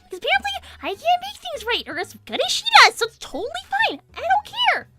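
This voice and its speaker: Very squeaky, exasperated hedgehog girl. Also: wildly swooping pitch, fast, music in the background, full character voice. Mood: huffy. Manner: insistent, repetitive, frantic. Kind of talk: improvised in-character livestream roleplay.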